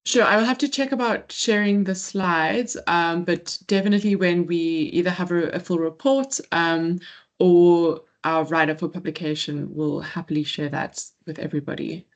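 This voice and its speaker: Thoughtful woman, lowish voice. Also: steady tone, moderate pace. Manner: thoughtful, hedging, many fillers. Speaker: research presenter. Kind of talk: answering questions in an online research meeting. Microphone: video call.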